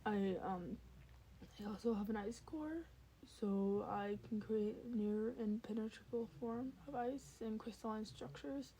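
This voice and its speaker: Woman as a nervous young hero, soft and very mellow. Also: on the high side, slight background noise, poor audio. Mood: sheepish. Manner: halting, unsure, self-conscious. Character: nervous, hesitant young male hero with ghost powers. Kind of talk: fan fiction podfic character voice.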